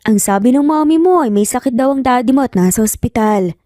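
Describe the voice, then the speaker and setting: A woman storyteller plays a devoted young Filipino man in an audio romance drama. He speaks gently and reassuringly in a mellow tone, with roomy echo around the voice. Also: fairly high pitch, lively intonation, a little fast, clean studio sound, close to an everyday voice. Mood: concerned. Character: caring, devoted young man, loyal best friend. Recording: roomy echo, faint music behind.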